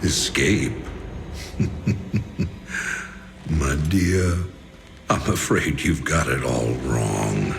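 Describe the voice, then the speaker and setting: A smug older tyrant emperor, with an extremely deep, gravelly voice. He draws his words out slowly and condescendingly, full of menace, in game acting over loud music. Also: lively intonation, full character voice. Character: smug, villainous older emperor, coldly scheming. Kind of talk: dramatic video game acting.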